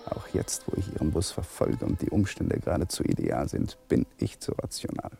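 An actor in a German crime radio play playing a troubled, coldly rational lawyer husband. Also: male voice, extremely low pitch, big pitch swings, fairly quick, creaky, dark tone, soft background music, exaggerated voice. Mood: brooding, hushed. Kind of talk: German crime radio play acting, dialogue and inner monologue.

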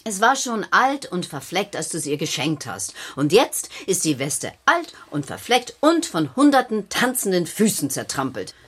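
A woman playing an exasperated, practical mother in a children's radio play, scolding in a husky, emphatic way. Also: on the low side, big pitch swings, normal speed, hardly any pauses.

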